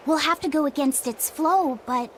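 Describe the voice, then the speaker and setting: A woman playing a gentle, earnest young boy in a fantasy video game, speaking with sincere curiosity. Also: high voice, moderate pace, a little hiss or hum, natural voice. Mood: earnest, concerned. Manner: earnest, questioning.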